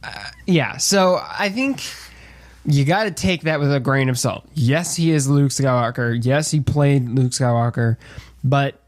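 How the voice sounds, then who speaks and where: A man's voice: mid-range voice, unhurried, dry recording, clean studio sound. A man co-hosting a casual podcast chat, thinking aloud as he weighs his opinion. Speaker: podcast co-host.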